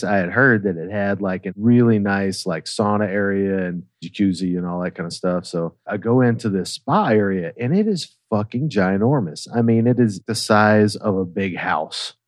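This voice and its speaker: A man with a fairly low voice tells a funny personal story in a loose, chatty way. He swears freely and checks in as he goes. The sound is dry and close. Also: no echo. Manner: swearing, conversational, checks in with "right?". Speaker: podcast guest telling a personal story. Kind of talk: casual comedic storytelling.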